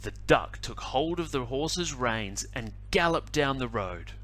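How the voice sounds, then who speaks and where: A male teacher reading a picture book aloud to children, animated and adding little asides. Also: mid-range voice. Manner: animated, slow storytelling with asides.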